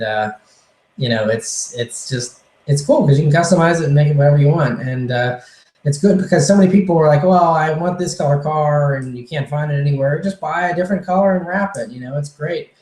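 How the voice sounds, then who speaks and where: A man answering viewer questions on a casual livestream, rambling and relaxed with many fillers, his voice a little hoarse. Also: fairly high pitch, brisk, reverberant, low-quality recording. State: slightly hoarse, losing his voice.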